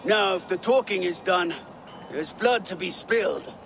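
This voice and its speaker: Gravelly, proud Viking warrior. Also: male voice, high-pitched, measured pace, a bit bright, a little hiss or hum, heavily acted. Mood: impatient. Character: proud, blunt Viking warrior prince, brooding. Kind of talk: video game cutscene acting.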